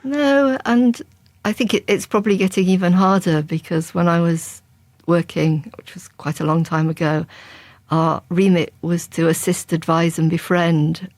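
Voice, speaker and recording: A woman's voice: on the low side, steady tone, moderate pace, a little mellow, dry recording. A woman from England, a crime writer, answering interview questions in a reflective, conversational way. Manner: reflective and conversational, with frequent restarts. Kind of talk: interview guest.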